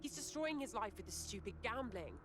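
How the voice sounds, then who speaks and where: A woman's voice: fairly high pitch, very animated, a little fast, fairly bright tone, a little hiss or hum. An actress playing a distraught woman in a video game, anxious and emotional as she pleads for a friend. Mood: distressed. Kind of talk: video game cutscene acting.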